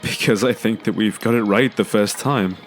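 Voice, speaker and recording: A man's voice: on the low side, moderate pace, natural voice. An actor playing an earnest, self-doubting father with a hesitant, stammering way of talking in an audio drama. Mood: sincere, reassuring. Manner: hesitant, stammering, repeats words. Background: music.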